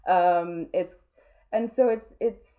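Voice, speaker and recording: A woman's voice: on the low side, slow, dark tone. A woman talking casually as an interview guest, informal and rambling, with a self-deprecating way about her. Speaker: interview guest, audio drama producer.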